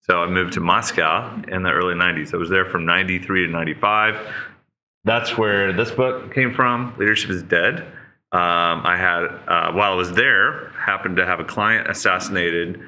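A man in his fifties from Oklahoma, a guest on a podcast, telling his story in animated, casual chat. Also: normal speed, a little hiss or hum. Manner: animated storyteller, jumps to high-pitched mock voices. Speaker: podcast guest, leadership speaker.

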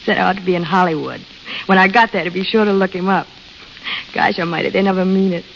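An actress playing a blunt, restless young American desert waitress with wistful dreams, plain-spoken in an old radio drama style. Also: female voice, medium pitch, quick pace, vocal fry, lightly acted. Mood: frank, wry. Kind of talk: 1930s-40s radio drama acting. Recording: muffled, hissy vintage radio recording.

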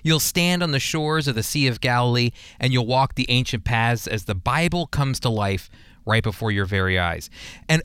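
An American man teaches the Bible as a radio host. His voice is clear and smooth, recorded close and dry in a studio. He speaks conversationally but earnestly, leaning on key words like a preacher. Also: a little high, fairly bright tone, no echo. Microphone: close studio microphone.

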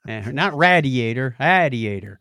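A middle-aged man talks casually as a podcast co-host, hesitating and thinking aloud as he goes. His voice sits at an average male pitch, and the sound is dry, with very little room around it. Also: animated, measured pace, pushed and bright, dry recording. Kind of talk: casual chat about old gadgets.

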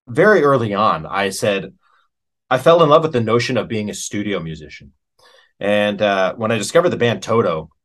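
A man's voice: mid-range voice, a bit bright. A man speaking as an interview guest and musician, chatting informally in a rambling, anecdotal way. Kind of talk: casual anecdotal chat.

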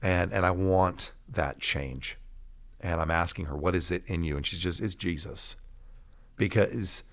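A man with a fairly low voice shares his personal story as a pastor would in conversation. He tells it emphatically, pausing deliberately and repeating key phrases. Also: fairly bright tone. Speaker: pastor and podcast guest telling his testimony.

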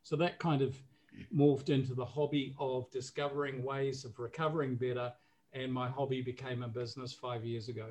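A man's voice: medium pitch, little pitch movement, moderate pace, darkish tone, slight background noise, rough recording. A man in his sixties from New Zealand with a New Zealand accent, a podcast guest talking casually with many fillers and restarts. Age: 60s. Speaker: guest, recovery business owner and coach.

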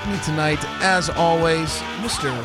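A man's voice: a little high, steady tone, unhurried, over music. A man with laryngitis hosting a casual motorsport show on college radio, rambling and often restarting his sentences. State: hoarse from laryngitis. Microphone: studio microphone.

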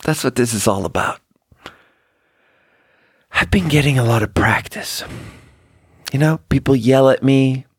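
A Swedish-born man with a mid-range voice, recorded dry and close. He rambles casually through personal stories, halting and restarting as he goes, with a wry, self-deprecating manner. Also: medium pitch, slightly rough, no room sound. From Sweden. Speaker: podcast host.

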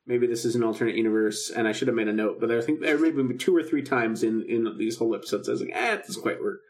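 Guy podcast host just chatting, average pitch, informal and animated, acts out little bits of dialogue. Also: quick pace. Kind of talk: casual chat about a film radio drama.

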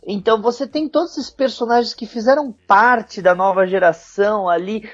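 High-voiced Brazilian man, dull dry recording. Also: normal speed, fairly bright tone, no room sound. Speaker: podcast panelist. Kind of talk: casual geek discussion.

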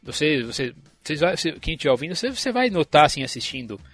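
A man with a Brazilian accent hosting a casual film discussion, rambling and halting as he repeats words. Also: on the high side, sing-song, fairly bright tone. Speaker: film podcast host.

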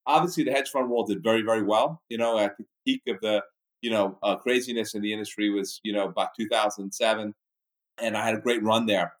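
A man in his fifties from the Bahamas, a business executive guest answering interview questions in a halting way with many restarts. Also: on the low side, fairly even intonation, poor audio.

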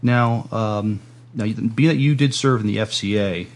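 Low-voiced man hosting, halting and polite. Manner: halting, polite, plays along. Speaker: podcast host, interviewer. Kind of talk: playful casual interview.

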